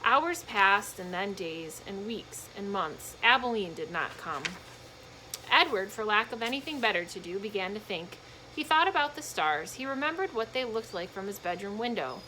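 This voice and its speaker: A woman's voice: medium pitch, lively intonation, normal speed, background noise. A woman reading a children's storybook aloud expressively, with casual explanatory asides to young listeners.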